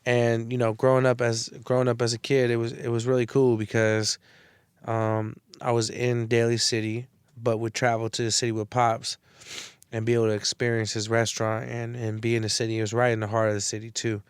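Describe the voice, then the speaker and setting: A man's voice: medium pitch, monotone, a little fast, smooth, no room sound. A man from the San Francisco Bay Area, a guest in a casual interview, laid-back and slangy, swearing casually. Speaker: guest, rapper and entrepreneur.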